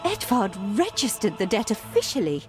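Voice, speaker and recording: A woman playing a proud, sharp-tongued, brisk young gentlewoman in a video game, speaking in a crisp, formal manner. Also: on the high side, wildly swooping pitch, faint music behind. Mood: grave. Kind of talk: video game character dialogue.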